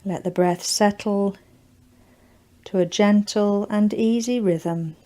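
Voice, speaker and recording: A woman leading a guided yoga nidra relaxation, giving gentle, deliberate instructions in short, spaced phrases. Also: mid-range voice, fairly even intonation.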